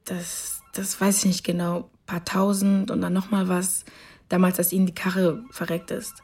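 An actress playing a young racer's girlfriend in a radio drama, blunt and colloquial, with a slightly husky voice. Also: on the low side, little pitch movement, moderate pace, a little mellow. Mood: hesitant. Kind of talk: naturalistic radio drama acting.

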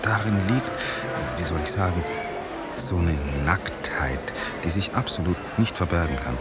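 An actor playing a lovesick, brooding man in a radio play, confessing his longing in halting, solemn phrases. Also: deep voice, lively intonation, over loud music. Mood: yearning, pained. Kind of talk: naturalistic radio play dialogue.

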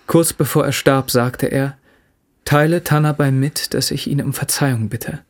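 Actor as a formal, measured bereaved son. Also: male voice, medium pitch, steady tone, lightly acted. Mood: solemn, restrained. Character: bereaved son, respectfully relaying his father's last words. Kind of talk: German radio play acting.

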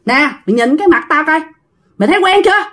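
A woman's voice: sits high, animated, fast, pushed and bright, voice pushed up. A woman voicing a vengeful dead old man in a ghost story, snarling threats and demanding his severed hand back over and over. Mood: taunting, menacing. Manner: snarling, menacing, repeats threats.

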